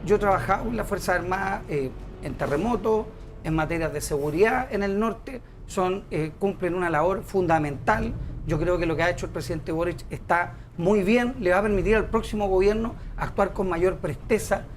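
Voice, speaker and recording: A man's voice: high-pitched, moderate pace, soft background music. A middle-aged man with a Chilean accent, a senate candidate defending his side in a TV panel debate, insistent and explanatory.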